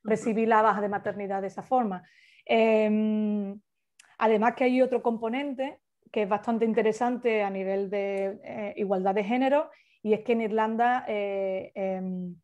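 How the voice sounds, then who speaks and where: A woman's voice: mid-range voice, fairly even intonation, a little slow, a little mellow, lo-fi sound. A woman leading a workshop, explaining at length in an informal way, with many hesitations and restarts, over a video call. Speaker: workshop facilitator.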